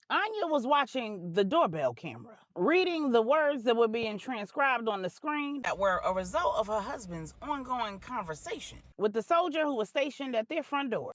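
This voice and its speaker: A woman's voice: a little high, dry recording, clean studio sound. A woman narrating a scripted drama story for adults in a dramatic, colloquial storytelling manner with slangy touches.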